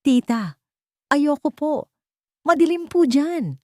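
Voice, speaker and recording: A small, innocent girl in an audio story , played by a woman narrator. Her voice is high, timid and pleading, full of fright, and recorded dry. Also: lively intonation, takes it slowly, mellow, dry recording. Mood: crying, scared.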